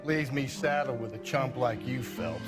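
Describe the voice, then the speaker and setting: An actor playing a blunt, cynical veteran homicide detective in a video game. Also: male voice, mid-range voice, expressive, normal speed, over loud music, natural voice. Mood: gruff. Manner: blunt, scornful. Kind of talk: video game dialogue acting.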